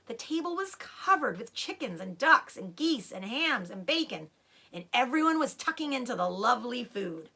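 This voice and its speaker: A woman reading a children's story aloud as an expressive narrator, with dramatic emphasis. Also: fairly high pitch, moderate pace, husky.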